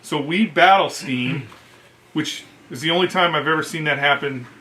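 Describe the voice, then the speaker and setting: Bright-voiced American man telling military stories. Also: a little high, moderate pace, a little hiss or hum, low-quality recording. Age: middle-aged. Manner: animated, profane, acts out quoted dialogue. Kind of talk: casual anecdotal storytelling.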